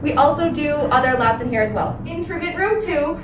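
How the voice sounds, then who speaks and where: High-voiced young woman, distant and echoey. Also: animated, a little fast. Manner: informal, run-on explanations. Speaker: student tour guide. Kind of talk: guided facility tour. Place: veterinary teaching clinic rooms. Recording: distant mic in echoey room, noisy.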